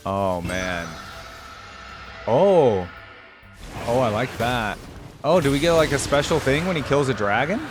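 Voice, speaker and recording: A man giving casual, slangy, enthusiastic gaming reaction commentary, thinking out loud as he goes. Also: medium pitch, animated, unhurried, long pauses. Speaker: reaction video host. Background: game music.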